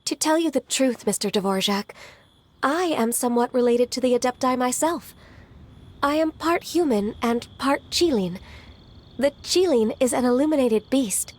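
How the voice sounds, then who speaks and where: An actress voicing a diligent, soft-spoken young secretary in a video game, gentle, formal and a little shy. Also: female voice, high voice, expressive, moderate pace, a little hiss or hum. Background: game music.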